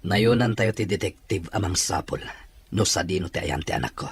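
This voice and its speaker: A man's voice: sits low, moderate pace, slight background noise, lightly acted. A man playing an ailing boss who longs for his lost child, in a radio drama. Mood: anguished longing.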